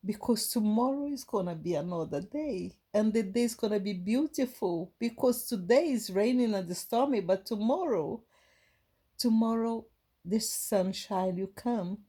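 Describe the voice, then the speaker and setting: A woman from Brazil with a Brazilian Portuguese accent, giving a gentle, halting inspirational talk to her viewers with many restarts. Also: medium pitch, breathy edge. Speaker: spiritual vlogger.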